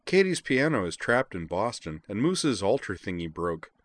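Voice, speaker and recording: A man's voice: on the low side, very animated, normal speed, dry recording. A man voicing a sweet, bubbly young woman with a gleeful, childlike manner in an audiobook story.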